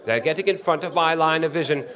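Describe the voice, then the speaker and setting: A man's voice: fairly high pitch, quick pace, fairly bright tone, lightly acted. An actor playing an earnest, eager male radio news commentator in a 1930s radio drama, with a formal, polite announcer delivery. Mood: excited, awed. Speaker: stage actor in a radio play.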